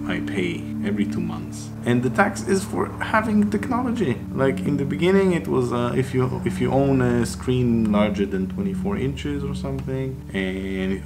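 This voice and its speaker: A low-pitched man from a Mediterranean country talks as a casual vlogger in non-native English. He is informal and sweary, given to mock disbelief. Loud plucked guitar music plays underneath him. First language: not English. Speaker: expat vlogger. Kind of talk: casual chat to camera. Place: small home room, indoors.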